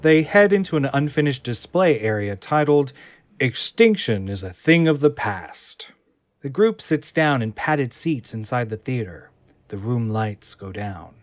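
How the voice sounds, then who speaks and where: A man's voice: medium pitch, big pitch swings, unhurried, no echo. A man narrating an audio drama, reading scene descriptions from a screenplay in a scripted style.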